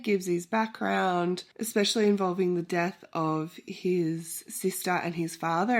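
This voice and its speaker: Nasal-sounding woman who is sick. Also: on the low side. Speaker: book vlogger. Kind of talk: reading check-in vlog.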